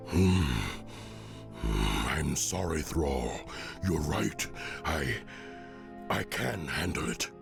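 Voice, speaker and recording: A man's voice: extremely low pitch, expressive, drawn-out words, creaky, long pauses, music in the background, exaggerated voice. A man voicing a brutal, troubled veteran orc warrior in a video game, growling and brooding. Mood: contrite. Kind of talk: video game cinematic acting.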